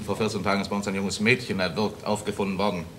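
Investigator, played by a man, 1960s crime serial: quick, deferential, insistent, noisy vintage sound. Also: fairly low pitch, level tone, lightly acted. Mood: politely probing. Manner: deferential yet probing. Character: polite, insistent male police investigator.

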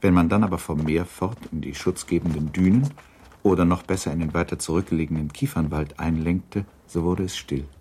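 Male narrator for literary radio play: low register, measured storytelling, slightly noisy recording. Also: fairly even intonation, warm tone.

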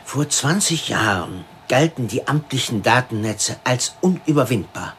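An actor playing a blunt, jovial computer crime police chief in a radio play, talking colloquially. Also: male voice, medium pitch, expressive, unhurried, fairly bright tone, a little hiss or hum. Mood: blunt, wry. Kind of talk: radio drama dialogue.

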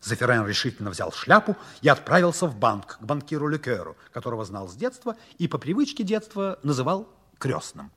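An actor narrating a 1960s Soviet radio play as an expressive storyteller, with a husky voice and deliberate, theatrical emphasis. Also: male voice, medium pitch, exaggerated voice. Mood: expressive storytelling. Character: storyteller narrator of a whimsical science fiction tale.

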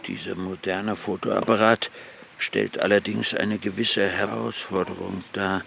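An actor playing a genial, nostalgic older merchant in a radio drama, courteous and old-fashioned in manner. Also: male voice, lowish voice, little pitch movement, a little slow, creaky, a bit bright, a little hiss or hum, exaggerated voice. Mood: gallant, upbeat.

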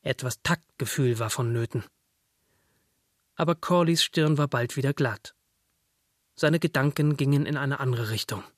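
Mid-pitched man narrating literary fiction. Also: normal speed, long pauses, no echo, studio quality.

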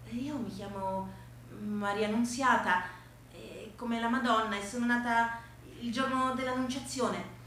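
Giovane servetta ingenua, sala molto riecheggiante. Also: female voice, a little high, fairly even intonation, measured pace, a bit bright. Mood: proud, naive. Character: shy young servant girl, simple, proud of her name. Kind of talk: theatrical stage monologue dialogue. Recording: very echoey room with faint noise.

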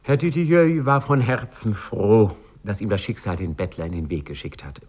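An actor narrating a 1950s radio drama as an urbane storyteller, polished and wryly ironic. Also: male voice, lowish voice, normal speed, darkish tone, husky, soft background music.

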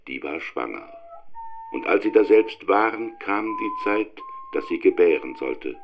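A man's voice: deep voice, lively intonation, unhurried, over music. A man playing a solemn, declamatory reader of the Christmas Bible story in a radio play. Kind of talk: scripture reading in a radio play. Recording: dull lo-fi sound.

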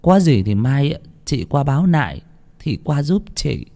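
A man's voice: medium pitch, sing-song, vocal fry, mellow, pitched above the performer's own voice. A man voicing an anxious, tearful village mother who laments over her son in an audio story. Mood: weary, worried. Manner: wailing, drawn-out lamenting.

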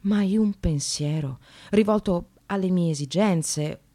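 An actress playing a sardonic, separated working mother in a radio monologue, confessional and swinging from hushed to vehement. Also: on the low side, slow pace. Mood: wry, resigned. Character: Sara, bitter, self-mocking separated mother in precarious work.

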